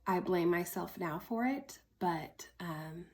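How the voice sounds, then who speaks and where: A woman's voice: lowish voice, lively intonation, slow pace, a little mellow. A woman in her early thirties from Oklahoma with an American accent, telling a personal story in a casual, candid, chatty way as a vlogger. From Oklahoma, United States. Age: early 30s.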